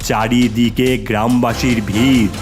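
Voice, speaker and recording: A man's voice: mid-range voice, level tone, measured pace, over music. A man narrating a scripted story in a dramatic storytelling style.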